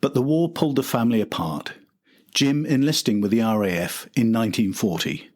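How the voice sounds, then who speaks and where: A man's voice: on the low side, breathy edge. An older man reading a scripted documentary narration as the host and storyteller.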